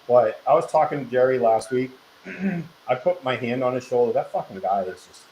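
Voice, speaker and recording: A man's voice: mid-range voice, lively intonation, moderate pace, roomy sound, muffled recording. Brief: forties male guest, laid-back chat, faint hiss. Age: 40s. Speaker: guest, arm wrestler. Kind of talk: casual chat.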